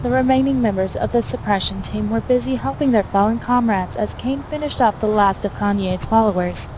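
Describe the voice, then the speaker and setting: A woman's voice: fairly high pitch, brisk, darkish tone, a little hiss or hum, rough recording. A woman reading scripted fiction aloud as the narrator of an action fantasy story.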